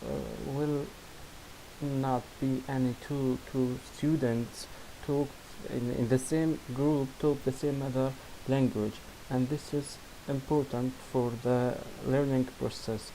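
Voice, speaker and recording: A young man from Syria with an Arabic accent, a non-native English speaker, demonstrating his student project in halting speech full of restarts and fillers. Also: mid-range voice, dark tone, slight background noise, rough recording. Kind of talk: screen-recorded software demo.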